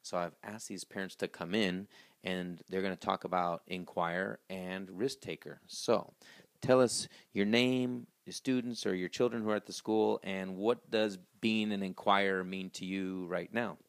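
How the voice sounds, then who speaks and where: Upbeat male school host, low gravelly voice, dry close sound, handheld mic in a small office. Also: fairly even intonation, unhurried, no room sound. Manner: upbeat, presenting. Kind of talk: school announcement interview.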